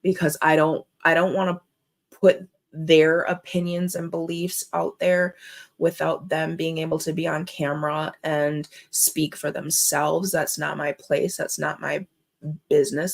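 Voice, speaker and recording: A woman from Minnesota hosting her own show, speaking with deep emotion in halting, earnest commentary. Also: gravelly. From Minnesota, USA. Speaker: host. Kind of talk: emotional personal commentary vlog.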